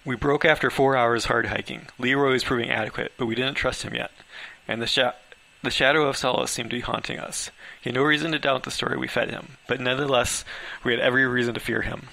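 A man's voice: medium pitch, steady tone, quick pace, vocal fry, hardly any pauses, bright, forceful tone, low-quality recording. A man reading his own story aloud as amateur first-person narration, occasionally stumbling over words.

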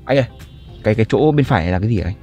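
A man's voice: on the low side, animated, very fast, mellow, lo-fi sound, soft background music. A man, chatting casually to camera in a vlog with plenty of fillers. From northern Vietnam. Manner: chatty, upbeat, filler-heavy. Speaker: vlogger. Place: barbershop and car at night.

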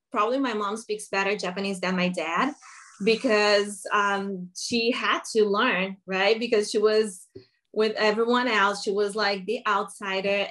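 Female panelist, 30s, Brazilian accent, native Portuguese, average pitch, casual explainer. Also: fairly bright tone. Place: indoor room on video call.

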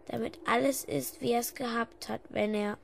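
A grieving little girl in an audio drama, earnest and insistent in her faith. The voice is fairly high with a marked creak, over faint background noise. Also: steady tone, moderate pace. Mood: sad, determined.

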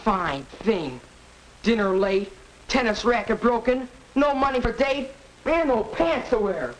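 An actor playing a brash, grumbling American teenage son in a 1940s educational film, blunt and clipped. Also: male voice, very high-pitched, expressive, unhurried. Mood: indignant. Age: young adult. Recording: noisy, crackly 1940s film soundtrack.

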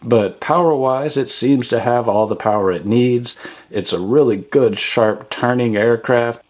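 A man's voice: mid-range voice, unhurried, darkish tone. A man hosting a casual product review voice-over in a matter-of-fact way. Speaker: product reviewer and channel host.

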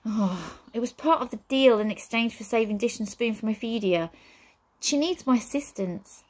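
A woman's voice: on the high side, moderate pace, darkish tone, close to an everyday voice. A woman voicing an earnest, slightly defensive young girl adventurer in a children's story. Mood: sheepish.

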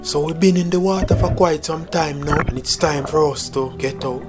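A man, calmly narrating his own spearfishing footage in a plain, matter-of-fact voice-over. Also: on the high side, normal speed. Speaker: spearfishing vlogger. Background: loud new-age music.

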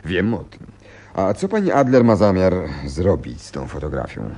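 An actor playing a shrewd, self-assured gentleman detective, precise and theatrical, in a radio play. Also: low-pitched, huge pitch swings, normal speed, breathy edge, full character voice. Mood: businesslike, probing. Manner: precise, inquisitive, theatrical storytelling.

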